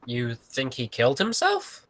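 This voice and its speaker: A man's voice: mid-range voice, huge pitch swings, unhurried, fairly bright tone, a little hiss or hum. A man playing a grave circus boss in a 1940s radio drama.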